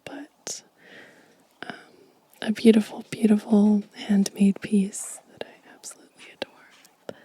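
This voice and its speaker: A woman speaking in a soft, breathy whisper, gently and intimately, as in an ASMR show and tell close to the microphone. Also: mid-range voice, dry recording. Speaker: host of an ASMR channel.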